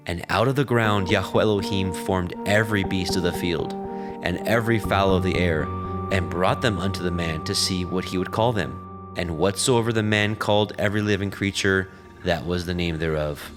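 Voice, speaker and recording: A man narrating scripture in a steady, reverent reading. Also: low voice, moderate pace. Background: background music.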